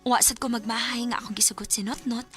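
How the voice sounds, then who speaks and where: A woman's voice: a little high, flat delivery, quick pace, fairly bright tone, soft background music, natural voice. A woman playing a lovesick young student. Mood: reflective. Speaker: lead character and narrator. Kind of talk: Visayan radio drama acting.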